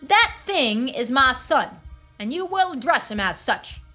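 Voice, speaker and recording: A woman's voice: sits high, very animated, measured pace, sharp tone, soft background music, pitched above the performer's own voice, exaggerated voice. A woman voicing a hot-tempered, threatening rural parent in a video game, with a folksy, foul-mouthed drawl. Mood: menacing. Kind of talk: video game character dialogue.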